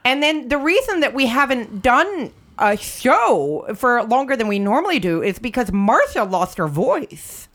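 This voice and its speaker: A lively woman, phlegmy from a cold, chats in a bright, bouncy voice. She rambles and restarts her sentences as she jokes with her co-host. Her pitch climbs and dips all over the place. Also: a little slow, hardly any pauses. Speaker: podcast co-host. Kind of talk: casual comedic chat.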